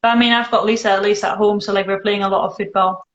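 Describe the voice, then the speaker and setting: A Dutch woman with a Scottish-tinged English accent, hosting a live video chat in a relaxed, dry, teasing way. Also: mid-range voice, fast, slight background noise. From Netherlands. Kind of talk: casual chat. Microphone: phone. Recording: echoey room, muffled phone audio.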